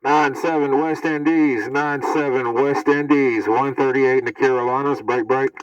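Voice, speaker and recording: A man's voice: mid-range voice, fairly even intonation, moderate pace, distant mic. A man calling out on CB radio in short, repeated, chant-like phrases. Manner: repetitive, chanting call-outs. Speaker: CB radio operator. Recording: muffled, very noisy recording with radio static.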